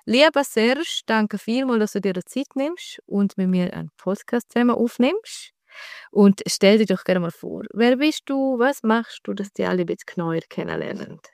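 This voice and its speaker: A woman's voice: mid-range voice, expressive, normal speed, creaky, darkish tone, no room sound, clean studio sound. A woman with a Swiss accent hosting a conversational podcast interview in an enthusiastic, informal manner. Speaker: podcast host and women's health coach.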